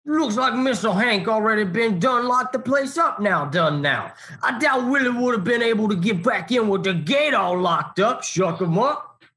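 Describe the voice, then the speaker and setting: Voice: medium pitch, lively intonation, normal speed, pushed and bright. An actor playing a folksy, protective old grandpa in a radio play, with a drawl. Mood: grumbling, folksy.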